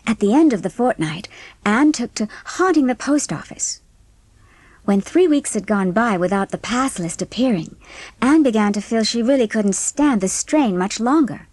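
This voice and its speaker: A woman's voice: fairly high pitch, animated, moderate pace, a little mellow, no room sound. Woman narrating a storybook, dry sound. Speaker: audiobook narrator.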